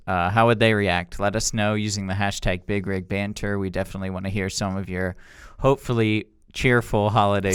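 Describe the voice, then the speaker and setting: A man's voice: on the low side, a bit bright, smooth, no room sound, clean studio sound. A young man co-hosting a podcast with casual, conversational banter. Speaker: podcast co-host.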